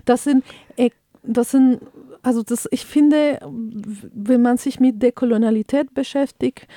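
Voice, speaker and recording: A woman co-hosting a radio talk show, speaking thoughtfully and often pausing to search for words. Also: on the high side, steady tone, warm tone, dry recording, studio quality.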